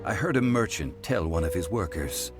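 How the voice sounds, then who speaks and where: A man voicing an ancient, dignified male god in a video game, speaking in a measured, formal and unhurried way. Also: low voice, animated. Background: music.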